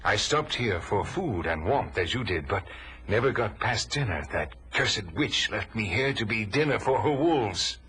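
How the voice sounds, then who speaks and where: An actor playing a wry, loyal thief and archer in an audio drama, with theatrical, archaic delivery. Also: male voice, lowish voice, expressive, a little slow, bright, forceful tone, breathy edge, full character voice. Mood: rueful. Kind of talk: 1980s audio drama acting.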